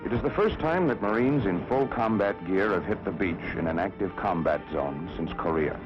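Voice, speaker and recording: A man's voice: low voice, lively intonation, moderate pace. An American man narrating a 1960s government propaganda film in a solemn, emphatic, declamatory manner. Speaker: documentary narrator. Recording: dull old film soundtrack with music. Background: music.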